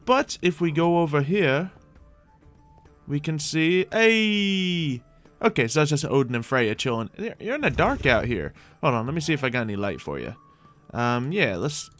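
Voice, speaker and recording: A man with a lively, swooping delivery and an average-pitched voice hosts a roleplay gaming video. He rambles in a chatty, playful way, often talking straight to the creatures in the game. Faint music plays behind him.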